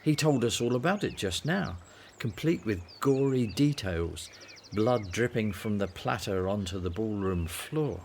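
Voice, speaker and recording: An actor playing a breezy, flippant male disciple in a radio drama, brisk and dismissive with a theatrical relish for gossip. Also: fairly low pitch, animated, vocal fry, darkish tone, slight background noise. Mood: blunt, relishing.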